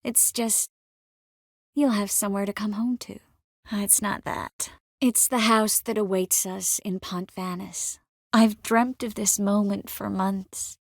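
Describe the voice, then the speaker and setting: An actress playing a caring, wry and determined adult sorceress in a video game, with an earnest, expressive dramatic delivery. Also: female voice, medium pitch, takes it slowly, dry recording, clean studio sound. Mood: tender, regretful.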